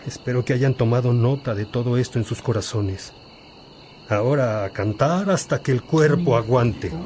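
An actor playing an elderly, gentle bishop who exhorts like a father in a radio drama. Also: mid-range voice, background noise, lightly acted. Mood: fatherly, earnest. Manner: paternal, exhorting, deliberate.

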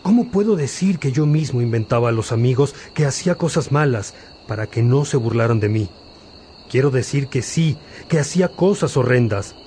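An actor playing an older, reflective, fatherly priest in a radio drama, speaking earnestly and deliberately. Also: mid-range voice, dark tone, a little hiss or hum, close to an everyday voice. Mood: confessional. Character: older Saint Augustine, reflective, fatherly priest dictating confessions. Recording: insect-like night ambience behind voice.

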